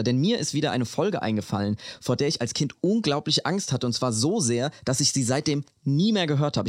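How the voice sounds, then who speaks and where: A man's voice: on the high side, no room sound, studio quality. A man in his thirties co-hosting a podcast, telling stories in a casual, colloquial way. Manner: conversational, colloquial. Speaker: podcast co-host. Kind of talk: casual storytelling chat.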